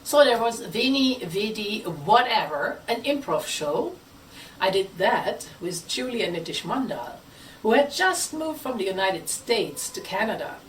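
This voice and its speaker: A woman talks in a chatty, playful presenting style with very lively pitch movement. She is recorded a little away from the mic, with faint noise behind her. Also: measured pace, distant mic, low-quality recording. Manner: animated, playful. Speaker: theatre group member presenting its history.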